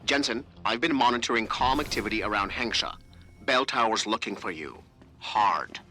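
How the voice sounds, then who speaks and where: An actor playing a sharp, snide male hacker speaking over comms in a video game cutscene. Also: on the low side, lively intonation, moderate pace, soft background music. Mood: tense.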